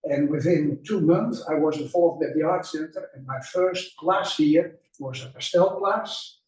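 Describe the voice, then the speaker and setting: Older dutch guy rambling about himself, kinda high voice, far off in an echoey room. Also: a little slow, darkish tone. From Netherlands. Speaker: exhibiting artist. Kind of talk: self-introduction at a gallery talk. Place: gallery room.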